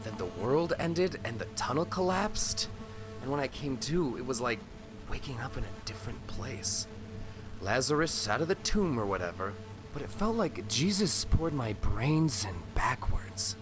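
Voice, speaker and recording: A man's voice: medium pitch, sing-song, measured pace. An actor playing a rattled delivery driver who rambles and corrects himself as he mutters to himself in an audio drama. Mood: rambling, wry. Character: rattled, rambling delivery driver disoriented after head injury. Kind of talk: post-apocalyptic audio drama monologue. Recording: radio transmission with music behind.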